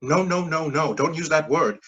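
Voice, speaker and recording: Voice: mid-range voice, animated, moderate pace, a bit bright, breathy edge, muffled recording. An actor in a staged play reading, playing a persuasive, evasive pyramid-scheme recruiter who insists in clipped phrases. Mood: reassuring, probing. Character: smooth-talking, pushy pyramid-scheme recruiter mentoring a junior coworker.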